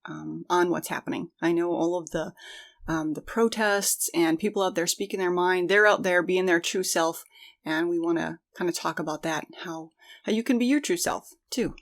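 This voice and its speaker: A woman's voice: lowish voice, moderate pace. A woman co-hosting a conversational podcast, speaking in an earnest, reflective way. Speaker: podcast co-host.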